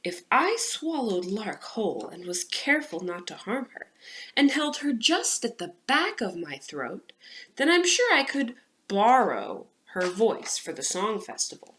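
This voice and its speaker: A woman's voice: mid-range voice, big pitch swings, a little slow, a bit bright, poor audio. A woman reading the part of a sly, jealous, scheming snake in a children's storybook. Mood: sly, plotting.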